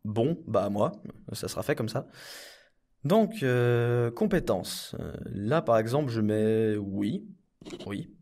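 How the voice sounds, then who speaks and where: A man's voice: medium pitch, expressive, slow, darkish tone. A man playing an inarticulate clone henchman in a comedy audio drama, rambling, hesitant and easily exasperated. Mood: muddled, hesitant.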